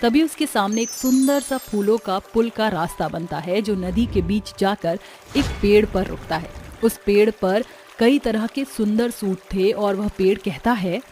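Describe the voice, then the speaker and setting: A woman's voice: medium pitch, measured pace, warm tone, faint music behind. A woman narrating a scripted moral story for children.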